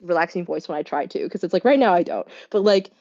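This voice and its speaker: Woman rattling off thoughts super fast, low-ish voice, kinda muffled lo-fi audio. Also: animated, a little mellow. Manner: rapid, filler-heavy speech with many "like"s and restarts. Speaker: voice actor guest. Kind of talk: casual interview chat. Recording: muffled, lo-fi sound.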